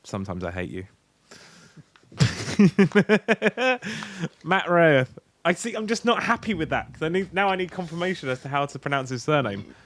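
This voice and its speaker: A man's voice: a little high, very animated, moderate pace, long pauses, a bit bright. A tipsy British man co-hosting a podcast in casual, sweary, rambling comic banter. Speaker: podcast co-host.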